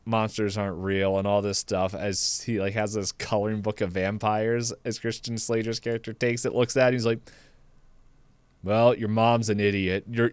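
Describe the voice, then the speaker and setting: Man rambling through a clear-voiced comic recap. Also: fairly low pitch, dry recording. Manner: rambling, acts out characters' lines in paraphrase; laughs through the telling. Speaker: podcast co-host recapping a movie. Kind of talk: casual chat, comedic movie recap.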